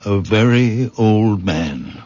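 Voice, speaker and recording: An actor playing a very old, gentle, humble country healer in a radio drama, speaking deliberately. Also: male voice, fairly low pitch, animated, loud music behind the voice. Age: older. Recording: muffled radio recording, heavy storm noise.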